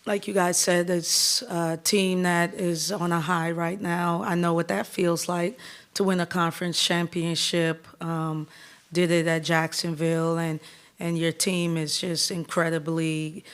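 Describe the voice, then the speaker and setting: A woman coach in her thirties from the Bahamas, answering press questions in an upbeat, candid way with quick restarts. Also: fairly low pitch, flat delivery, clean tone. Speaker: head basketball coach.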